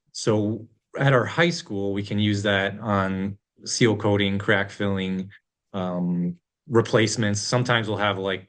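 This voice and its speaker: A man's voice: on the low side, fairly bright tone. A man with an Upper Midwest American accent co-hosting a school facilities webinar, talking in an upbeat, easygoing way. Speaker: co-host, school district facilities staff. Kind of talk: casual webinar talk.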